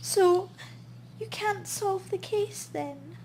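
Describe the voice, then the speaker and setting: A woman's voice: extremely high pitch, drawn-out words, darkish tone, a little hiss or hum, full character voice, rough recording. An actress playing a bereaved, polite and hesitant woman client in a noir radio play. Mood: sad, grateful.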